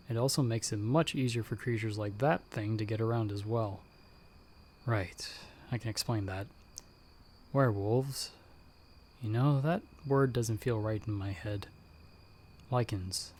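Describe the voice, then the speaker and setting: A man playing a battle-hardened werewolf hunter in an ASMR audio roleplay, speaking directly to the listener in a measured, dryly understated way. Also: fairly low pitch, darkish tone, a little hiss or hum, lightly acted. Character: battle-hardened male werewolf hunter with healing magic, calm mentor.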